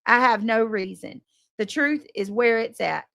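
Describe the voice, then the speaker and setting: Exasperated woman with a creaky, dry-sounding voice. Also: on the high side, a little slow, bright, forceful tone, no echo. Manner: exasperated, defensive, emphatic; coarse, swearing. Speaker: livestream host addressing subscribers and moderators. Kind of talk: casual unscripted livestream monologue.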